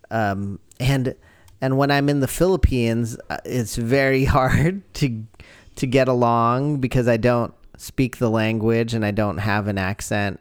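A man's voice: mid-range voice, clear, resonant tone, no echo, studio quality. A man chatting casually as a podcast host, reflective and giving advice. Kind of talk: casual conversation. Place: home.

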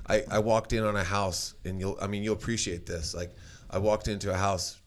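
Deep-voiced man, loud and rambling. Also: steady tone, fairly quick, slight background noise. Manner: rambling, with many restarts and fillers. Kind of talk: casual conversation, storytelling.

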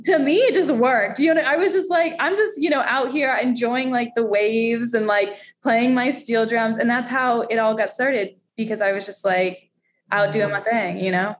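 Young woman from Florida, chatty, bubbly guest, pitched a bit high, faint hiss under her. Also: normal speed. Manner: chatty and bubbly, laughs often. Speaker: radio host and steel drum player. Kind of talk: casual interview chat.

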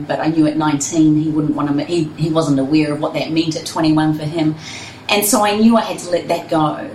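A woman with a New Zealand accent, a guest telling her story in a chatty, easygoing way. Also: sits low. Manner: warm, chatty, laughs easily. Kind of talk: relaxed interview storytelling. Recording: echoey room, distant mic, faint hiss.

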